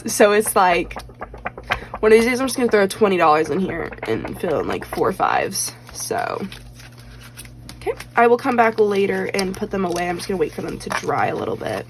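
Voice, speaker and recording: A woman's voice: mid-range voice, big pitch swings, normal speed, a little hiss or hum. A woman chatting casually as she stuffs cash into budget envelopes. She rambles with lots of fillers, counts bills aloud and is slightly losing her voice. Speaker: budgeting vlogger.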